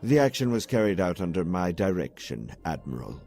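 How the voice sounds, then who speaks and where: A man playing a calm, calculating alien admiral of a space empire in a measured, formal, scripted comic dub. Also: low voice. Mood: coolly formal. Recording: music under the dialogue.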